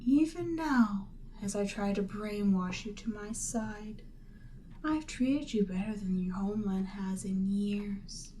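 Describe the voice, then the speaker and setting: A woman voicing a seductive, imperious demon queen in an audio roleplay, speaking deliberately and theatrically, with breathy near-whispered phrases. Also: medium pitch, animated, dark tone, a little hiss or hum, low-quality recording. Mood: coaxing, persuasive. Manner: slow, deliberate, theatrical, persuasive. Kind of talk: roleplay audio drama, ASMR monologue.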